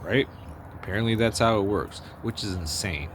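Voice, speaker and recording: A man with a deep bass voice giving a blunt, profane, rambling opinion monologue with many restarts. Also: normal speed. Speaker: vlogger. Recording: outdoor street noise with passing vehicles.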